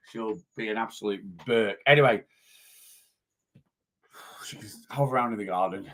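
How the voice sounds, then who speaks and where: A man, a weight loss coach chatting casually in a vlog, a little hoarse from losing his voice and rambling as he goes. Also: medium pitch, fairly bright tone. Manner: chatty, rambling, self-interrupting.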